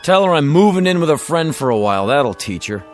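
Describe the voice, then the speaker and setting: A man's voice: fairly high pitch, big pitch swings, fairly quick, faint music behind. An actor playing a weary, earnest used car salesman in a radio drama, with a hesitant, folksy way of talking. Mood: weary, glum. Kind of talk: old-time radio drama acting.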